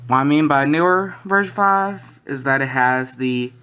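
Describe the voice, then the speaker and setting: A hobbyist collector talking through items on camera in a casual, halting way, often trailing off between points. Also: mid-range voice, sharp tone, slight background noise. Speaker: collector presenting a hobby video. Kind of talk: casual product walkthrough. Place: indoors in a small room at home. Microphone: handheld camera or phone near the speaker.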